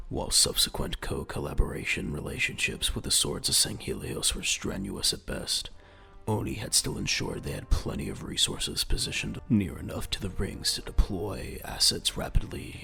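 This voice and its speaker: A man's voice: extremely low pitch, vocal fry, bright, forceful tone. A man narrating an audio drama from a script in a dramatic storytelling style.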